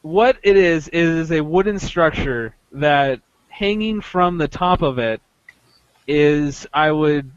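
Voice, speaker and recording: Higher-voiced man, halting podcast storyteller. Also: clean tone, dry recording, muffled recording. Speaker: podcast host telling a story. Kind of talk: casual chat, recounting an experience.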